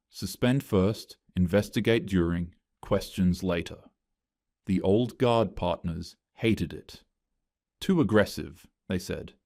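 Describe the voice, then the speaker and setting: A man's voice: low voice, darkish tone, no room sound, clean studio sound. A man narrating a first-person story in a scripted, matter-of-fact way.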